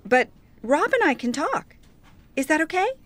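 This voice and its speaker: A woman's voice: high-pitched, very animated, normal speed. A woman reading a scripted coursebook dialogue as an upbeat, chatty photographer.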